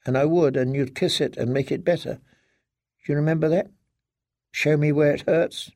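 Man playing a fragile, pleading daughter, gravelly. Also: on the high side, fairly even intonation, a little mellow, no echo, clean studio sound, lightly acted. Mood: fragile, tearful. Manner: halting, stammering, childlike pleading. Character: frightened, slurring grown daughter, pleading and fragile. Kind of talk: dramatic audiobook character reading.

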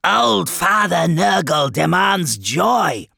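A man voicing a gleeful, fawning demon herald in a fantasy audiobook, gravelly and declamatory, drawing out his words. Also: high voice, sing-song, sharp tone, no echo, clean studio sound, pitched above the performer's own voice, heavily acted. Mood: gleeful zeal. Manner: declamatory, drawn-out exhortation. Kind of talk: dramatic fantasy audiobook character voice.

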